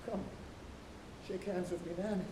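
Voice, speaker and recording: A man's voice: fairly even intonation, a little slow, dark tone. A man playing an old, obsessed whaling captain on stage, declaiming grandly and commandingly in an echoing auditorium. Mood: grave, brooding. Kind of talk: theatrical stage acting of a literary tragedy. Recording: echoey auditorium, low rumbling noise.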